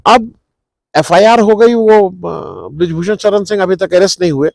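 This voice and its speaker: A man's voice: high voice, normal speed, vocal fry, darkish tone, no echo. A middle-aged man gives unscripted political commentary, explaining things to viewers in a conversational way and mixing in English words. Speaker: news commentary host.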